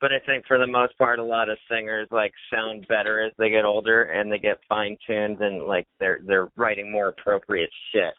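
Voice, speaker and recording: A man's voice: lowish voice, fairly even intonation, moderate pace, few pauses, clear, resonant tone. A man in his thirties hosting a casual podcast, laughing often, self-deprecating and swearing freely. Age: 30s. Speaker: podcast host. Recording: dry, tinny phone-like sound.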